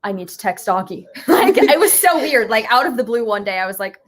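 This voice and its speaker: A woman's voice: fairly high pitch, big pitch swings, brisk. A woman in her early twenties chatting casually as an interview guest, in youthful, slangy speech. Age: early 20s. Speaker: interview guest, musical writer. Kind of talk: casual chat.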